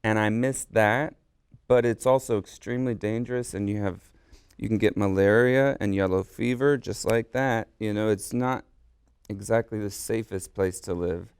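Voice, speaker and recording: Male guest, first language Korean, eager and rambling; smooth, resonant voice, dry studio sound. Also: fairly low pitch, normal speed, darkish tone, no room sound. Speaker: guest artist and musician. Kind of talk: casual interview chat. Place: radio studio.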